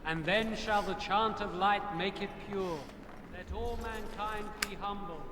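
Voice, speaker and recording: High-voiced devout chanter in a hall. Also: male voice, steady tone, measured pace. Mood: solemn, devout. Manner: declamatory recitation. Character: devout chanter solemnly reciting scripture. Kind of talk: fantasy video game dialogue. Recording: long reverberant hall.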